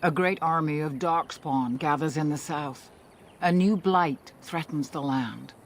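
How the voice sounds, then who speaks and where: A man's voice: on the high side, a little slow, slight background noise. An actor, a man by voice, playing a solemn, caring elven clan leader in a fantasy game, with earnest, formal speech. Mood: solemn, urgent. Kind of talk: video game cutscene acting.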